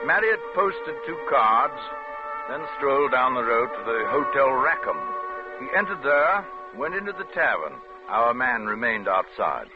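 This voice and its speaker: A British man as a sober detective narrates in formal, measured phrases with lively swings of pitch. It is an old radio drama recording, muffled, with prominent music underneath. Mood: grave, official.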